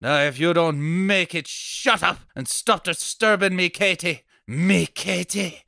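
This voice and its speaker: A man's voice: high voice, a little slow, creaky, bright, forceful tone, dry recording, studio quality, full character voice. A man playing a nosy, chatty, stubborn off-duty policeman neighbor in a table read of an old radio horror play, with folksy, blustery speech. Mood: blustery, defiant.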